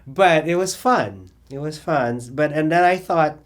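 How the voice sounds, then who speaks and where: A middle-aged man telling his story in an oral history interview, rambling and animated, with many fillers and restarts. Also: on the high side, measured pace. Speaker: interviewee, cabaret singer and drag performer.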